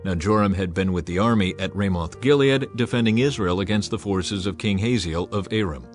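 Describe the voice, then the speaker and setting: A man reading a dramatized Bible passage as narrator, lifting his voice to act out the quoted speakers. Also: fairly quick. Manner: acts out quoted speakers by raising pitch and volume. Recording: soft music bed behind the voice.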